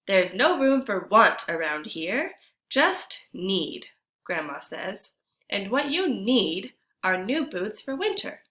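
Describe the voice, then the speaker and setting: A woman teacher reading a picture book aloud to children, expressive and deliberate, pausing to put questions to her young listeners. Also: mid-range voice. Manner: addresses children directly, deliberate and animated.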